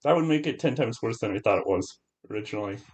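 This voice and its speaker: A man's voice: lowish voice, expressive, fast, a little mellow. A man co-hosting a podcast, chatting casually about films in a hesitant way, circling back and repeating his phrases. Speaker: podcast co-host. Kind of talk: casual film discussion chat.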